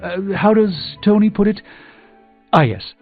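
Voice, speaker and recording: A man's voice: high voice, animated, unhurried. A man playing a proud, erudite sorcerer superhero in a video game, speaking formally with dry wit. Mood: wry, candid. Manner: formal, wordy, dryly witty. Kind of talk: video game character dialogue. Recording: muffled game capture with music behind.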